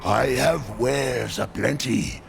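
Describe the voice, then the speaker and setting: A man voicing a sly, courteous otherworldly merchant in a fantasy game, with gravelly, drawn-out theatrical salesmanship. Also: medium pitch. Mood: sly, inviting. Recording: music behind the voice.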